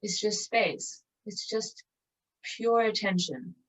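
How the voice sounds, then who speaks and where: A woman leading a guided meditation in a calm, contemplative, measured manner with long pauses. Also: mid-range voice. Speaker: meditation teacher. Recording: distant mic, dull muffled sound.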